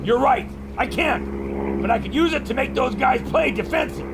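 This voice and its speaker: Cocky high-voiced flying ace. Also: male voice, expressive, moderate pace, vocal fry, heavy background noise, full character voice. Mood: brash, urgent. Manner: folksy, brash. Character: cocky, reckless young American ace pilot hero. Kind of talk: pulp adventure audio drama acting. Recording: loud music over the voice.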